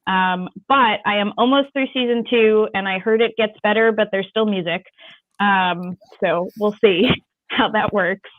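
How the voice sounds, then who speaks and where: A woman's voice: mid-range voice, unhurried, dry recording, narrow, tinny audio. Rambling woman, dry close sound. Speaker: panelist. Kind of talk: casual chat.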